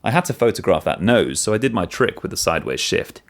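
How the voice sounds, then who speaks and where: A man reading a first-person short story as narrator, in an expressive storytelling manner. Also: low voice, fast talker.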